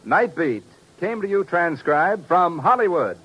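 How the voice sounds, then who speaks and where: Male announcer, high voice, formal old-time radio delivery over music, dull vintage sound. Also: very animated, a little slow. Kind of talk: formal 1950s radio announcing, scripted credits.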